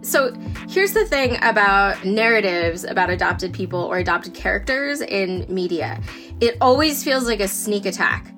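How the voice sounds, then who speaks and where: A chatty woman being interviewed, her voice lively in the middle range. She talks loosely and acts out her own reactions as she goes. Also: medium pitch, moderate pace, soft background music. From born in Korea, adopted in the US. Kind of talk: casual interview talk.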